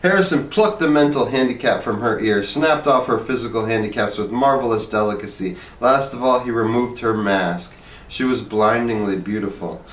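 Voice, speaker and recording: A man's voice: medium pitch, moderate pace, a bit bright. Man narrating in an echoey room. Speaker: story reader. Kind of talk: scripted narration of a short story. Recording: echoey room, distant microphone.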